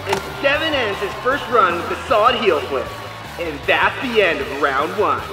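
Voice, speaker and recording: A man playing an energetic skateboard competition announcer in a children's audio drama, with an echoey sound. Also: high-pitched, normal speed, over music. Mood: upbeat, projecting. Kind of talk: sports event announcing.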